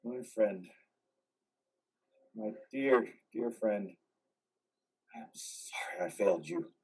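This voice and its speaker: A man's voice: lowish voice, lively intonation, slow, a little mellow, slightly rough, recorded from a distance, heavily acted. A man playing an anguished, vengeful war veteran in a dramatic play reading. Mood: grieving, tender. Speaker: amateur actor.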